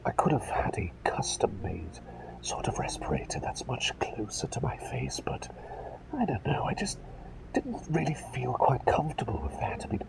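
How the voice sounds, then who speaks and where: A man performing a whispered ASMR roleplay as a gentle, eccentric plague doctor, with courteous old-fashioned phrasing and a dithering, repetitive way of talking. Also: medium pitch, wildly swooping pitch, normal speed, creaky, poor audio. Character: gentle, eccentric, absent-minded male plague doctor, polite and chatty.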